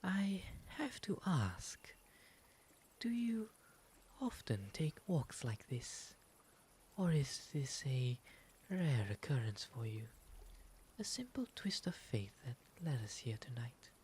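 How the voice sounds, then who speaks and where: A man voicing a gentle, refined owl demon in an ASMR roleplay, with soft, breathy, soothing, formally polite speech. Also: mid-range voice, sing-song, exaggerated voice. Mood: fond, courteous. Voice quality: soft, breathy, often whispery. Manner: soothing, genteel, unhurried formal phrasing. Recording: noticeable ambient background noise.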